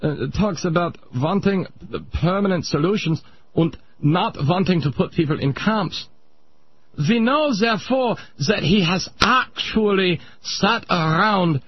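A man voicing a pompous old male Viennese psychoanalyst, lecturing in a smug, drawn-out way. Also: high voice, breathy edge, lo-fi sound, voice pushed up.

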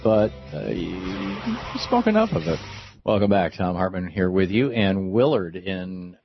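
A man's voice: deep voice, sing-song, unhurried, muffled recording, faint music behind. An American man in his sixties hosting a radio call-in show in a conversational talk style. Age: 60s. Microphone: close studio microphone.

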